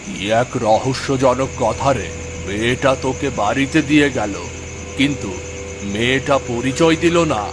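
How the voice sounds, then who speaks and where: A man playing a protective, worried father in a cartoon story, with a gently scolding, questioning manner. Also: mid-range voice, moderate pace, music in the background, natural voice. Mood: concerned, chiding.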